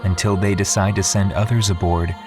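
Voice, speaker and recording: A man playing a detached, introspective dreamer, delivering a measured and formal monologue in an audio drama. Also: low-pitched, steady tone, natural voice. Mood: brooding, detached. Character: brooding, detached dreamer alone on derelict starship. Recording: music behind the voice.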